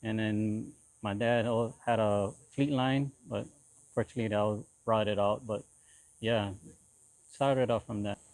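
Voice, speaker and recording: A man in his forties from Hawaii, speaking casually as an interview guest in a halting way, often repeating short phrases. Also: lowish voice, steady tone, a little mellow, no room sound, rough recording. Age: 40s. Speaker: interview guest, lowrider builder.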